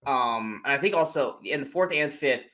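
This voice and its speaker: A man co-hosting a film podcast in a casual chat, animated and sweary, acting out his reactions as he retells things. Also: mid-range voice, normal speed. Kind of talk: casual movie spoiler chat.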